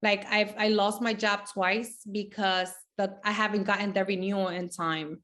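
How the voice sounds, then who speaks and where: A woman's voice: medium pitch, fairly even intonation, a bit bright. Candid woman sharing personal hardship. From Dominican Republic. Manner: candid, halting, heartfelt. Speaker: interviewee. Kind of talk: recorded interview testimony.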